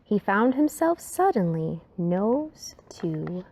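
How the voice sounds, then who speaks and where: A woman reading a rhyming children's story aloud in an expressive, dramatic way, sometimes dropping to a hush. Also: medium pitch, slow pace.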